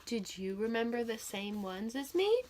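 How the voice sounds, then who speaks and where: Very high-pitched, animated woman teaching children. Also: normal speed, a little hiss or hum. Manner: animated, expressive storytelling; simple, encouraging questions addressed to children. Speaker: teacher reading aloud to young children. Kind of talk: children's read-aloud lesson with questions to the listeners.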